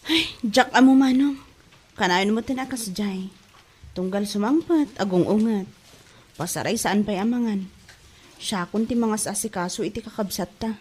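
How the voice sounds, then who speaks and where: A woman's voice: medium pitch, moderate pace, a little mellow, husky, natural voice. A woman with an Ilocano accent playing an outspoken young daughter and younger sister in an audio drama, speaking plaintively. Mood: complaining.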